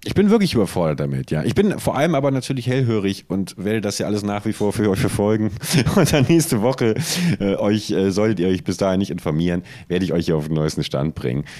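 Low, dry-sounding man chatting. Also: animated, fast talker, mellow, no room sound. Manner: ironic, self-deprecating, rambling with stutters. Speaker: podcast co-host. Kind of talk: casual joking chat.

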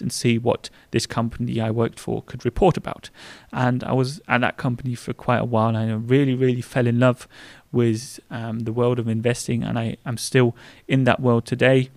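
A man's voice: mid-range voice, creaky, dry recording. A man in his thirties with a German accent, chatting casually as a podcast co-host with a lot of fillers. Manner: chatty, rambling with frequent fillers.

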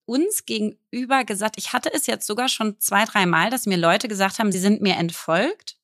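A woman's voice: mid-range voice, moderate pace, fairly bright tone, no room sound, studio quality. A woman in her thirties from Berlin, co-hosting a casual podcast chat and talking candidly, often laughing at herself. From Berlin, Germany. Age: 30s. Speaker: podcast co-host.

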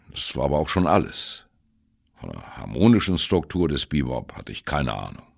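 Very deep, gravelly jazzman storyteller. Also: heavily acted. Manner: wry, candid, self-deprecating. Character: male jazz musician wryly recalling his youth. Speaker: narrator of an audio drama. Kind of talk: first-person radio play monologue, storytelling.

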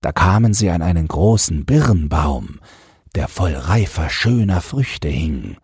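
A man's voice: low voice, animated, slow pace, darkish tone, slightly rough, no room sound. A man narrating a scripted fairy tale as an audiobook storyteller, close to the microphone. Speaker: narrator, podcast host.

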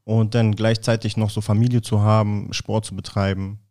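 A man's voice: fairly low pitch, normal speed. A man in his thirties from Berlin, a football player talking casually as a podcast guest, with frequent fillers and restarts. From Berlin, Germany. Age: 30s. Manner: relaxed, many fillers and restarts. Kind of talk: casual interview chat.

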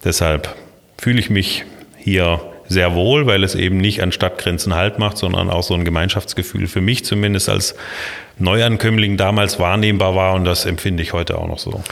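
Deep-voiced swabian-alemannic guy in his 50s, measured, says äh a lot, bit of reverb. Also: fairly even intonation, vocal fry, a bit bright. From Baden-Württemberg, Germany. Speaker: city planning official, guest. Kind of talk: podcast interview.

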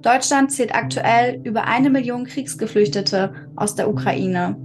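A woman hosting a podcast, reading a scripted introduction and then gently interviewing her guest, rephrasing her answers. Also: a little high, measured pace, music in the background. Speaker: podcast host.

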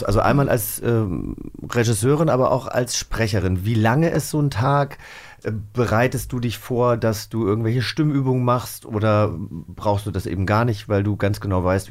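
A man's voice: on the low side, moderate pace. A man, asking questions and chatting casually as a talk co-host. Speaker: interviewer, co-host and actor. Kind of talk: casual interview chat.

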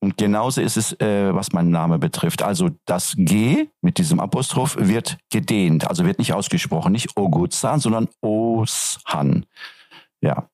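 A man's voice: fairly low pitch, normal speed, a little mellow, dry recording. A man from Turkey, a teacher, answering interview questions in a casual, hesitant way with many fillers. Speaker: guest, teacher.

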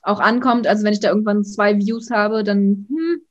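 A young woman, an influencer, chatting casually in an interview, outgoing and talking in quick bursts with many fillers. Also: mid-range voice, fairly even intonation, highs cut off. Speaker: influencer guest.

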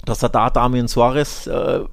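A man with a medium-pitched voice. He speaks as a podcast co-host in relaxed sports conversation, explaining and qualifying his views in a measured way. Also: gravelly. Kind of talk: casual football podcast discussion.

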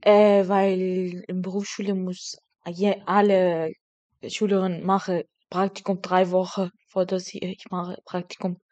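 A young woman whose first language is Kurdish, an intern training as a kindergarten teacher. She chats in a halting way with broken grammar. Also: on the low side, little pitch movement, darkish tone, lo-fi sound. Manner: halting non-native speech with broken grammar. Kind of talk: casual interview chat.

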